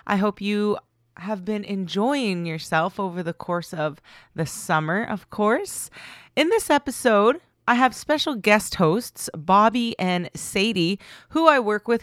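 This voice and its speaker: A woman's voice: medium pitch, no room sound. Canadian woman hosting, dry close sound. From Manitoba, Canada. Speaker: podcast host. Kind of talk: scripted podcast introduction.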